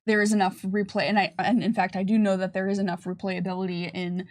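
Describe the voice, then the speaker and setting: A woman's voice: medium pitch, flat delivery, fast talker. A woman answering questions conversationally on a livestream, explaining at length with frequent hesitations and restarts. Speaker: game developer presenting on a livestream. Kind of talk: unscripted livestream Q&A.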